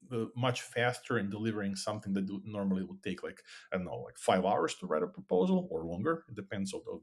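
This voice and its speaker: A man's voice: medium pitch, vocal fry, a little mellow. A middle-aged man with a Croatian accent, a non-native English speaker explaining his work earnestly as a guest in a podcast interview. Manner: earnest, explanatory, fond of "you know" and "actually". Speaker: guest, AI consultant.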